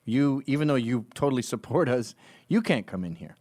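A man's voice: medium pitch, animated, fairly quick, darkish tone. A man hosting a casual chat, teasing and provocative, slipping into impressions. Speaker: host.

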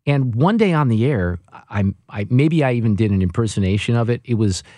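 Male radio host, animated teasing storyteller, very expressive pitch, dry booth mic. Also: brisk, dry recording. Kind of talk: casual on-air chat.

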